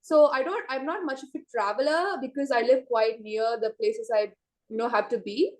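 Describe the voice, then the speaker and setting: A teenage girl answers speaking-test questions. She talks casually and fills her sentences with "like" and "you know". Her voice sits a bit high. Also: normal speed, darkish tone. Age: teens. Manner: casual, many "like" fillers. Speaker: student. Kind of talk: mock exam answers.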